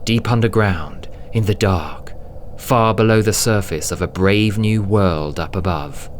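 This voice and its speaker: A man with a low voice narrates a dramatic audiobook. His delivery is slow, deliberate and suspenseful, hushed at times. Faint ambient noise sits behind him.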